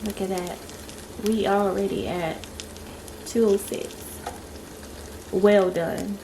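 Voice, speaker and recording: A woman hosting a casual home cooking vlog, her voice stuffy and congested, chatting in an unhurried way with lots of fillers. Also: medium pitch, steady tone, creaky, noisy background. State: stuffy, congested voice after a sore throat. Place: home kitchen.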